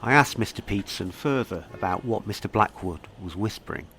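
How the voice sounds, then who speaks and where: A man with a fairly low voice narrates a scripted true-crime mystery as its host. He reads prepared lines and poses questions. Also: expressive, a little fast, fairly bright tone, a little hiss or hum.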